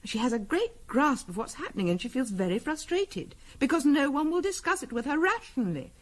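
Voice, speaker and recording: A woman's voice: high-pitched, sing-song, brisk. An actress playing an anxious English middle-class wife in a 1970s radio drama, earnest and hesitant as she defends her friend. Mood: defensive, agitated.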